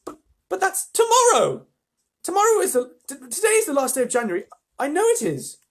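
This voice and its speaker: A storyteller voicing an anxious caring mother, higher and fussing, with a husky tone. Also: sing-song, normal speed. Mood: flustered. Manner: flustered, fussing.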